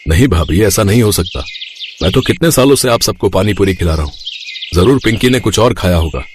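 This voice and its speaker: A man's voice: sits low, lively intonation, a little fast, a little hiss or hum. A man playing an honest humble village seller. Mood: pleading. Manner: gentle and pleading. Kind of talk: animated storybook acting.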